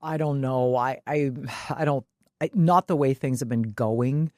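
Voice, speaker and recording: A woman's voice: a bass voice, measured pace, darkish tone, no echo, studio quality. A woman co-hosting a podcast in casual sports chat, opinionated and emphatic, swearing freely. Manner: freely profane. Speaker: podcast co-host.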